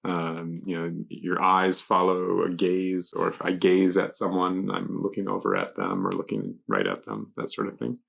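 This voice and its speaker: A man casually explaining English vocabulary off the cuff, pausing to think and offering loose examples. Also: fairly bright tone. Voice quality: gravelly, low. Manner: halting, thinking aloud with examples. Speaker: guest explaining English words. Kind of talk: casual unscripted word explanations.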